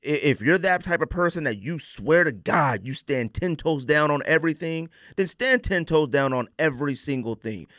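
A man's voice: on the high side, animated, normal speed, few pauses, a bit bright, no room sound, clean studio sound. An American man hosting a casual podcast, giving opinions in an emphatic, slangy way and repeating phrases for emphasis. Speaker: podcast host.

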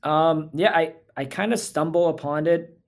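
A man's voice: fairly high pitch, moderate pace, vocal fry. A man from Thailand, a physical therapist talking as a podcast guest in an earnest, reflective way. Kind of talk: casual interview talk.

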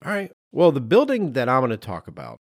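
A middle-aged man co-hosting a podcast in a conversational, animated and emphatic way, with some self-deprecation. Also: medium pitch, fast talker, dry recording, clean studio sound. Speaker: podcast co-host.

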